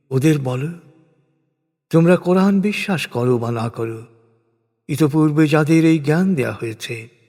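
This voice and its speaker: A man reading translated scripture as a solemn, scripted recitation, in measured, deliberate phrases with pauses. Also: on the high side, vocal fry, a little mellow, breathy edge. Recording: long hall-like reverb.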